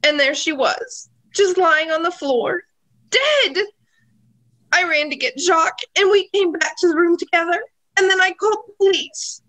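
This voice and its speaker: A woman's voice: extremely high pitch, expressive, normal speed, pushed and bright, full character voice, poor audio. An actress playing an evasive young female club performer, theatrical and breezy in a melodramatic radio play. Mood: dramatic.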